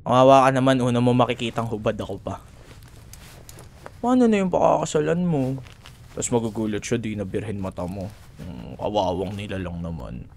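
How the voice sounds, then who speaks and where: A man's voice: on the low side, animated, normal speed, vocal fry, darkish tone, slight background noise. A young man with a Filipino accent acting a cocky, grudging student rival in an ASMR roleplay, teasing and sarcastic in casual banter. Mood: mocking, playful. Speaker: voice actor.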